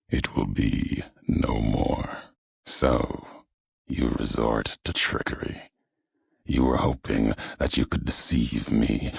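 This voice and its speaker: A man voicing a brooding, vengeful wasteland messenger in a video game, speaking in solemn, measured, cryptic pronouncements with a gravelly, husky voice. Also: a bass voice, no room sound, clean studio sound, heavily acted. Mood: cold, accusing. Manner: solemn, measured, cryptic oratory with weighted pauses. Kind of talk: dramatic video game character monologue.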